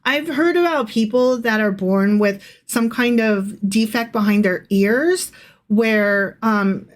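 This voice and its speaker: A woman's voice: on the high side, slow. A woman co-hosting a podcast in a casual, irreverent, sardonic style, commenting freely with some swearing. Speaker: podcast co-host. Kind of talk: casual Bible-study chat, reading aloud and commenting.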